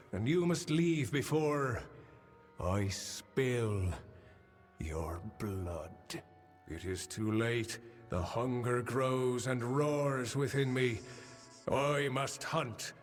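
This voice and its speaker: A man acts out a tormented, bloodthirsty fey hunt lord from a fantasy game. He speaks at a very slow, halting pace in a medium pitch, full of menace. The voice rings with long reverb. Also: natural voice. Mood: menacing, hungry. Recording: long cavernous reverb, music behind.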